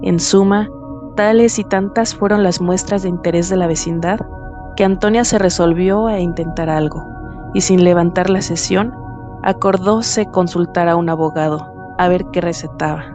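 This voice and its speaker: A woman's voice: lowish voice, normal speed. A woman narrating a literary story in a dramatized radio reading. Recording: music underneath.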